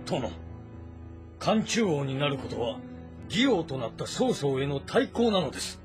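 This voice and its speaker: An actor playing a composed, calculating and loyal strategist in a historical game drama, speaking formally and deliberately. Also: male voice, fairly high pitch, very animated, music in the background. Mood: earnest, persuasive. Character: composed, calculating, loyal male strategist advising his lord.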